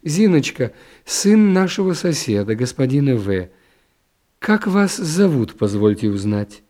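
Blunt, bossy older princess performed by a male reader, literary audiobook, soft-edged tone, prying delivery. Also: medium pitch, very animated, unhurried. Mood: chatty, bossy. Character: blunt, unkempt princess of about fifty.

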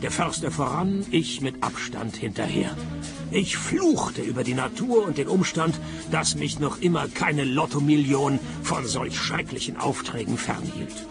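Sardonic, gravelly detective. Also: male voice, mid-range voice, unhurried, over music. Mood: wry narration. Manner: dry, sarcastic, deadpan. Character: grumpy, sardonic male private detective, world-weary city dweller. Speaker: lead character and narrator. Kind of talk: radio play dialogue and first-person narration.

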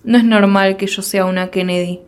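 A woman reciting a long first-person poem in a measured, declamatory way, full of rhetorical questions. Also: medium pitch, steady tone. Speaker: poetry reader. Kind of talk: dramatic poetry recitation.

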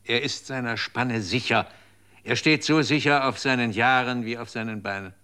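A man's voice: medium pitch, normal speed, pushed and bright, smooth, lightly acted. An actor in a radio drama playing a man who asks probing, incredulous questions. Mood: scornful.

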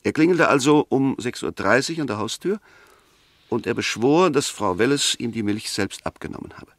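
An actor playing a methodical, argumentative defence advocate in a 1960s radio drama, deliberate and emphatic. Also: male voice, mid-range voice, lively intonation, fairly bright tone, no echo, studio quality. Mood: insistent, reasoning. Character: argumentative, methodical male defence advocate dissecting a murder case.

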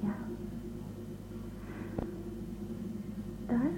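A woman's voice: fairly quick, close to an everyday voice. An actress playing an earnest, protesting young woman raised aboard a spaceship, in a science fiction radio drama. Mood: hurt. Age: 20s. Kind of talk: Dutch radio drama acting. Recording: tinny phone-like band, loud background noise.

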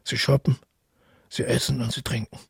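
An actor playing a brooding, isolated man haunted by dreams and guilt, in a measured, introspective radio drama monologue with a gravelly, husky voice. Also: mid-range voice, little pitch movement, dry recording, studio quality. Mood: brooding, reflective.

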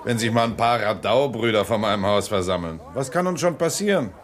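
Male actor: composed, reassuring attorney, lowish voice with lively intonation. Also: fairly quick, very noisy, lightly acted. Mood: calm. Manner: calm, reassuring. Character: calm, optimistic male attorney and candidate. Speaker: district attorney. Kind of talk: radio play acting.

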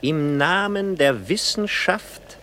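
An actor playing a cold, solemn agent of murderous science, declaiming in a poetic radio drama. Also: male voice, on the high side, animated, slow pace, sharp tone, a little hiss or hum, full character voice. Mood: coldly solemn.

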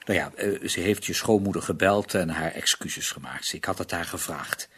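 A very raspy, defensive father. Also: low-pitched, normal speed, fairly bright tone. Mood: placating, dismissive. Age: middle-aged. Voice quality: raspy, husky. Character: defensive, irritable father protecting his wife. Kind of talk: radio play acting.

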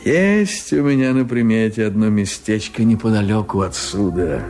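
A man's voice: lowish voice, moderate pace, a little mellow, natural voice. An actor playing a chummy, scheming male adventurer with a persuasive, emphatic way of talking in a radio drama. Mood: dreamy. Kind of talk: Soviet radio drama acting. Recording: Soviet radio play with music underneath.

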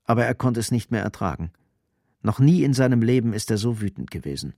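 Low-voiced grave male narrator. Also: sing-song, gravelly, warm tone, dry recording, studio quality. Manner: grave, measured. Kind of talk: dramatic scripted narration.

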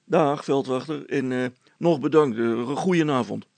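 A man's voice: mid-range voice, unhurried, darkish tone, dry recording, lightly acted. A man voicing a wily, grumpy workman in a storybook, blunt and prone to stammered excuses. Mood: hurried. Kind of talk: storybook character acting.

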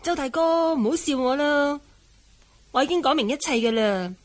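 Young martial heroine, Cantonese accent, high-pitched, girlish, polite, exclamatory, radio drama. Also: lively intonation, normal speed, a little hiss or hum. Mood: bright, polite. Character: lively, polite young swordswoman.

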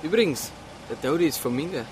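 An actor playing a chatty police investigator with a colloquial, breezy manner and a Bavarian accent. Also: male voice, on the high side, expressive, fast, background noise, close to an everyday voice. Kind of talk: naturalistic radio drama acting.

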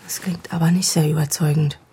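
An actress playing a cool confidante in a radio crime drama, hushed and breathy, probing and insinuating. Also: female voice, low-pitched, fairly even intonation, brisk. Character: cool, pressing woman confidante of a doctor. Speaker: actor.

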